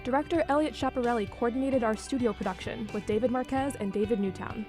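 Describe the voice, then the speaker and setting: A woman with a fairly high voice reads crisp scripted lines as a radio co-host. Faint music plays beneath her. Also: fast talker. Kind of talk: radio show presenting.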